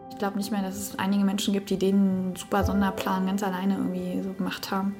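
Flat-toned woman in her twenties. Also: mid-range voice, a little fast, music in the background. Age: 20s. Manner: reflective, matter-of-fact. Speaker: interviewee. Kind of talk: interview.

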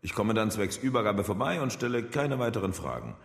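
An oily, corrupt cop in a radio drama. His deep voice slides up and down with mock politeness and insinuation, ringing in a reverberant room. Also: big pitch swings, fairly quick, creaky. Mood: insinuating. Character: oily, corrupt policeman, politely extorting bribes.

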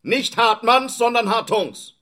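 Shrill, defiant manservant. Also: male voice, unhurried, full character voice. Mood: grudging obedience. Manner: loud, reluctant military-style announcing, voice cracking upward. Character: Lampe, obedient but defiant male manservant, shrill. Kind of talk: radio play acting.

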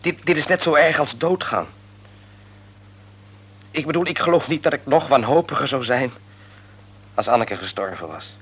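An actor playing a middle-aged grieving father in a radio play, bitter and argumentative, sinking into quiet despair. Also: mid-range voice, animated, moderate pace, pauses often, slightly rough.

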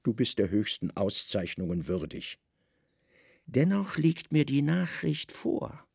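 An actor playing a stern, scheming Roman governor in a radio play, imperious and measured, with insinuating undertones. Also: male voice, medium pitch, sing-song, creaky, a little mellow, slightly rough, dry recording, clean studio sound, exaggerated voice. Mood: slyly insinuating. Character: stern, commanding Roman governor, slyly plotting, middle-aged man.

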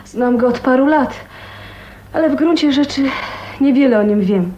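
An actress playing a gentle, resigned, ailing young woman in a radio drama, hesitant and soft-hearted. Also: sits high, normal speed, lo-fi sound, slight background noise, natural voice. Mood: thoughtful. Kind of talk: Polish radio drama acting.

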